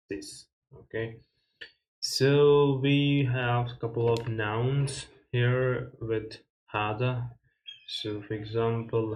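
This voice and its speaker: A man walks beginners through a lesson at an unhurried pace, stopping often to restart a sentence or add a filler. His voice stays level and even, with little rise or fall, as he reads each new word aloud and then repeats it. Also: medium pitch, poor audio. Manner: slow, measured explaining with many fillers and restarts; reads Arabic words aloud and repeats them. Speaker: Arabic teacher. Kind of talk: casual step-by-step beginner lesson.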